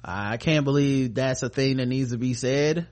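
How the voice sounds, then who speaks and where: A man's voice: medium pitch, moderate pace, darkish tone. An American man co-hosting a podcast, chatting casually about the news in a profane, slangy, sardonic way. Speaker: podcast co-host. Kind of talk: casual news commentary chat.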